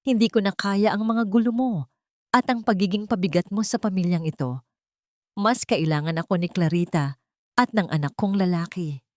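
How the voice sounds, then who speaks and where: A woman's voice: mid-range voice, darkish tone, no echo, clean studio sound, natural voice. A woman voicing a cold, heartless husband rejecting his wife in an audio story.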